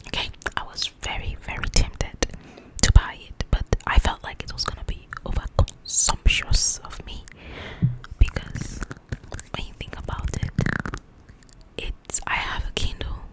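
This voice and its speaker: A woman hosts ASMR in a soft, breathy whisper with no voiced tone. Her talk is informal and chatty, wandering along as she addresses viewers directly. The delivery stays hushed, like a casual one-sided chat. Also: rough recording. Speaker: ASMR video host.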